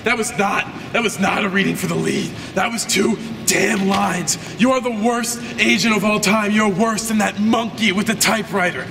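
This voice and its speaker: Man acting a vain washed-up movie star, high-voiced. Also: moderate pace, creaky, few pauses, reverberant, far from the mic, music in the background. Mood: angry, sarcastic. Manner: pompous, indignant, self-important. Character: vain, explosive washed-up male movie actor. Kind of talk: mockumentary comedy acting.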